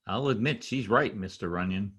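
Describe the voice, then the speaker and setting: An actor playing a meek, henpecked little husband, hesitant and apologetic, in an old-time radio drama. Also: male voice, on the low side, moderate pace, fairly bright tone, lightly acted.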